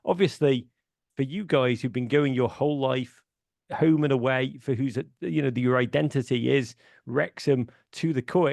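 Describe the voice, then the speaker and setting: A man's voice: medium pitch, vocal fry, a little mellow, dry recording, clean studio sound. A middle-aged man from Liverpool with a Liverpool accent, a podcast guest telling stories with enthusiasm, often restarting his sentences. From Liverpool, England. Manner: enthusiastic storyteller, many restarts and fillers. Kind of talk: casual podcast chat.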